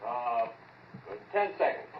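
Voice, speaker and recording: Brisk scientist, distant and echoey. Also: male voice, medium pitch, lively intonation, a little hiss or hum. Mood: offhand. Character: brisk, excitable male experimental scientist. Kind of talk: 1940s radio acting. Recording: muffled, distant, echoey old radio recording.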